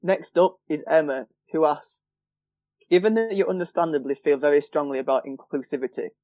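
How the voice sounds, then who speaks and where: A man's voice: a little high, brisk, darkish tone, dry recording. A man hosting a fan podcast, steadily reading listener questions from a script. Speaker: podcast host.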